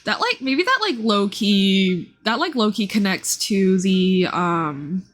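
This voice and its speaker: A woman gives casual, playful commentary on a game stream with music behind her. Her pitch moves around freely and expressively, and she slips in sarcastic asides as she reacts. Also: fairly bright tone.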